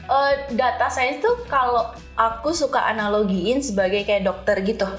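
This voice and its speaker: A woman's voice: on the high side, moderate pace. A woman explains her work casually in an interview, using examples and dropping in English terms. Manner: explains with examples and analogies, informal. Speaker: guest, senior data scientist. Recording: roomy echo, faint music behind.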